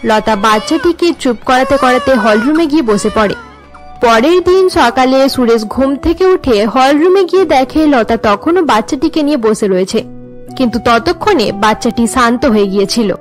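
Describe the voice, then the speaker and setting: High-pitched woman storyteller. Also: animated, a little fast, faint music behind. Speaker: narrator. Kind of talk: scripted cartoon storytelling narration.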